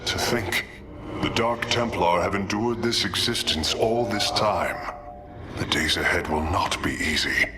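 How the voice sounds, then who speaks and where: An actor playing a sorrowful, humble alien engineer in a video game, speaking formally and earnestly. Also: male voice, low-pitched, measured pace, background noise. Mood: lonely, sorrowful. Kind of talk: dramatic video game acting. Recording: music behind.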